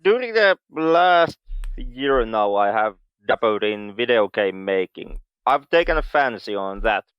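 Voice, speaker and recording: A man from Finland, co-hosting a podcast with dry, deadpan humor. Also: on the low side, a little slow, smooth, no echo, muffled recording. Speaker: podcast co-host. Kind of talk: casual chat.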